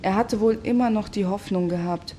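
An actress playing an embittered, idealistic commune woman in a measured, sardonic audio drama monologue. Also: mid-range voice, warm tone, slight background noise, lightly acted. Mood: grieving, bitter.

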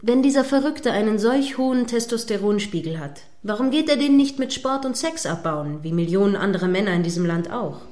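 A woman's voice: medium pitch, brisk, few pauses, darkish tone, lightly acted. A woman playing a prim, combative radio news anchor in a radio play, speaking formally with pointed, sceptical irritation. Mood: sceptical, reproving. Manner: stern, pointed, formally articulate.

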